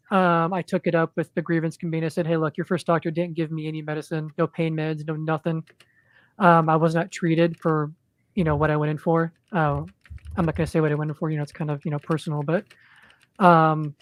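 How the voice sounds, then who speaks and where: A man chatting as a guest on a podcast, in a monotone, rambling way with lots of ums and restarts. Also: fairly high pitch, fairly quick, dry recording. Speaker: podcast guest, indie comic creator. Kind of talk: casual podcast chat.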